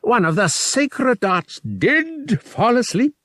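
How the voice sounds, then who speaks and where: Smug giant alien priest for audiobook, played by a male narrator: raised pitch, slow, booming, swooping lines. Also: sing-song, sharp tone, no room sound, studio quality, full character voice. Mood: smug, amused. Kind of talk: audiobook character dialogue.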